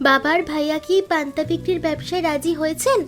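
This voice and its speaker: Woman as young daughter, very high pitch, earnest, youthful, helpful, cartoon story, music behind. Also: steady tone, quick pace, a bit bright. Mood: hesitant. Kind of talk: animated cartoon story acting.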